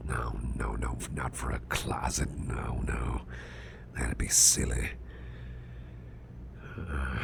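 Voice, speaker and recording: A man playing a gruff, flustered boss in an ASMR roleplay, muttering haltingly in a growly, breathy half-whisper and repeating himself. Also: a bass voice, background noise, heavily acted. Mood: flustered, thinking. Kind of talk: ASMR roleplay, spoken softly to the listener.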